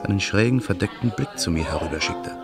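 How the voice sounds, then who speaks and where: A man's voice: low voice, animated, fairly quick, vocal fry, over loud music. A man narrating and playing Daniel, a bitter man set on revenge, in an earnest, brooding radio play voice. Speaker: narrator and protagonist.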